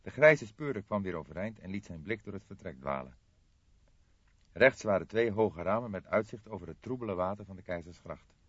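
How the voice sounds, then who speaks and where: A man's voice: low-pitched, animated, a little fast, husky. A man narrating a crime novel as a scripted, dramatic audiobook reading. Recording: roomy echo, slightly muffled audio.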